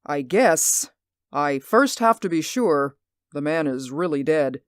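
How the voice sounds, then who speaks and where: A woman's voice: low voice, expressive, slow, no echo, clean studio sound. A woman playing an anxious, insistent man in a radio drama, speaking earnestly and emphatically. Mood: earnest, uneasy. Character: anxious, insistent male stage actor spying on neighbours.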